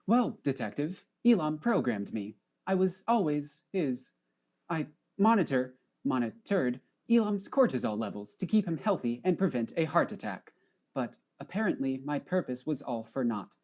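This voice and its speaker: Actress as formal shipboard AI, very deep voice, swinging intonation, stilted phrasing, mystery drama. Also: female voice, very animated, normal speed, darkish tone. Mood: grave, formal. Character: ship's artificial intelligence, grieving, formal, newly emotional. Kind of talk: acted mystery audio drama.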